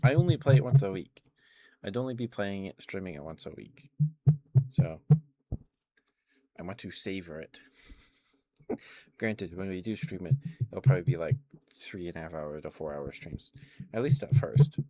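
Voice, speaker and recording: A man's voice: low-pitched, lively intonation, normal speed, pauses often, dark tone, no echo. A man streaming ASMR, chatting casually in a rambling, hesitant way into a close microphone. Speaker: live streamer. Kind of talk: ASMR, casual rambling chat to live viewers.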